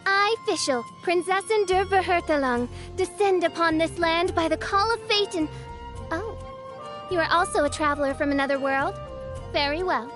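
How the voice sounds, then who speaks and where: Video game role: teenage would-be princess, high-pitched, haughty, grandiloquent and melodramatic, music underneath. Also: female voice, moderate pace. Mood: grandly haughty. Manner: pompous, grandiose, archaic diction. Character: theatrical teenage girl posing as imperious otherworldly princess. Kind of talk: video game character voice acting.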